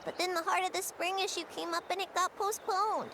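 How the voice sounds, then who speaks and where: A woman voicing an eager young storyteller creature in a video game, with a squeaky childlike voice and a bubbly, theatrical way of talking. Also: fairly even intonation, brisk, noisy background. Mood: chatty, earnest. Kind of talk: animated video game character acting. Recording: music behind the voice. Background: game music.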